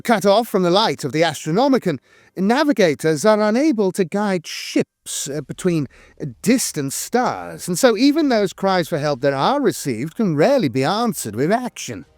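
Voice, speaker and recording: Guy with a kinda high voice reading lore, formal but really lively intonation, dry sound. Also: normal speed, no room sound. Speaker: channel narrator. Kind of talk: scripted lore narration read aloud.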